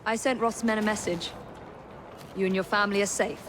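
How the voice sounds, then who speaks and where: An actress with an English accent playing a poised, sharp-witted young Victorian woman in a video game, speaking crisply and formally. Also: medium pitch, fairly even intonation, brisk, background noise, close to an everyday voice. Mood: brisk, polite. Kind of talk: video game cutscene acting.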